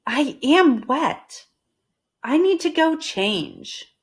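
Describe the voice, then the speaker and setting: Woman acting eager, high-pitched storybook housekeeper. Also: lively intonation, drawn-out words, heavily acted. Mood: earnest. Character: cheerful, eager, literal-minded woman housekeeper, storybook heroine.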